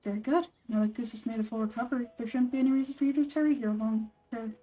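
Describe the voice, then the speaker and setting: A composed young scholar-ranger from a video game, a man voiced by a woman, with a mellow tone and a dry, sardonic edge. He explains things in measured, matter-of-fact phrases, a little far from the mic over background music. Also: a little high, fairly even intonation, close to an everyday voice. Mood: calm, explanatory.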